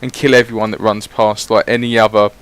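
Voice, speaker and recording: Rambling lad on gameplay commentary. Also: male voice, lowish voice, flat delivery, fairly quick, clear, resonant tone. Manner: rambling, chatty, swears casually. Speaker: gaming YouTuber. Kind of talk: casual voice-over gameplay commentary.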